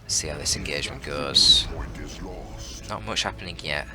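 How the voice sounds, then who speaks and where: A man giving live, analytical and conversational commentary on a strategy game, as a shoutcaster does. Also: rumbling low, normal speed. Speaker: esports shoutcaster. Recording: voice over loud game audio. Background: loud game music and battle sounds.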